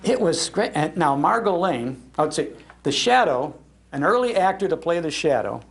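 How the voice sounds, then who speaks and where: An older man from Chicago gives a nostalgic talk in a Midwestern accent. His voice sits slightly high for a man and has a marked gravelly creak. He tells his stories in a folksy, animated way, repeating phrases for emphasis. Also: moderate pace. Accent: American Midwestern. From Chicago, United States. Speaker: speaker giving a talk on old-time radio. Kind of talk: nostalgic storytelling to a live audience. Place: public library, live talk.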